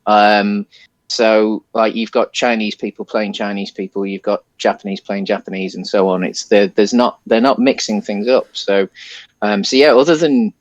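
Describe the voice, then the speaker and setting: A man with a fairly low voice talks casually as a podcast co-host. His delivery is hesitant and rambling as he works through his thoughts on a film, and the sound is very dry, with hardly any room on it. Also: level tone, normal speed, no echo, dull audio.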